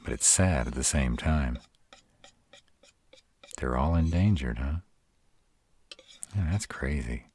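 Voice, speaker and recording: Male actor as gruff were-dragon, rock-bottom pitch, husky, protective, dryly sardonic, measured casual delivery, intimate roleplay audio. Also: darkish tone, no echo, heavily acted. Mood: thoughtful, reassuring. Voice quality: very deep, husky. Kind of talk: roleplay audio drama, intimate one-sided conversation.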